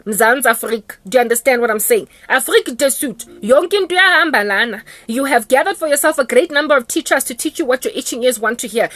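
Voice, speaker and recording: High-pitched South African woman preaching. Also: a bit bright, no echo. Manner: emphatic, repeats phrases for effect. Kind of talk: impassioned informal sermon monologue.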